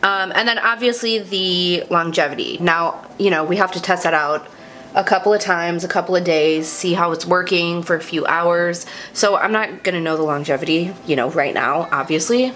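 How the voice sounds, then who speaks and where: A woman's voice: on the low side, moderate pace, fairly bright tone, a little hiss or hum. A young adult woman vlogger chatting casually through a makeup tutorial, a bit congested and sniffly, talking in a confiding, self-deprecating way. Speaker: beauty vlogger.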